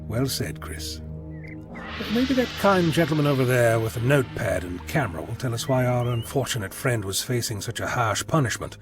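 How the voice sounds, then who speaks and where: A man acting in an audio drama as an eccentric, self-assured time traveller, wordy, breezy and gently lecturing. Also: medium pitch, sing-song, normal speed, music in the background, close to an everyday voice. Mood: measured, curious.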